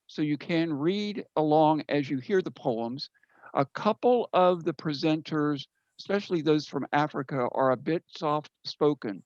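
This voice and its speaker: Male poet-presenter, higher register, informal but deliberate and emphatic, dry, slightly lo-fi call audio. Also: no room sound. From United States. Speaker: poet presenting at an open mic. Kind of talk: informal spoken introduction to an audience. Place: indoors on an online video call.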